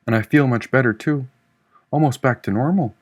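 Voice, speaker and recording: A man voicing a gentle, upbeat woman in an audiobook, with a light, pleased manner. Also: medium pitch, normal speed, breathy edge, close to an everyday voice. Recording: echoey room, distant mic.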